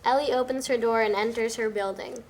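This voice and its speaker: Female narrator for a script table read, slightly high voice, some background noise. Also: fairly even intonation, a little fast, darkish tone. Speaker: narrator reading stage directions. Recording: noticeable background noise, amateur room recording.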